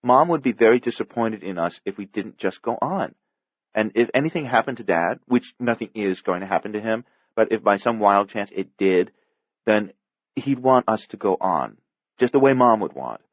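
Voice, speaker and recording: A man's voice: fairly low pitch, lively intonation, moderate pace, no room sound, muffled recording, pitched above the performer's own voice. A man voicing a bossy, protective girl of almost twelve, firm and earnest, in a storybook reading. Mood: earnest, consoling.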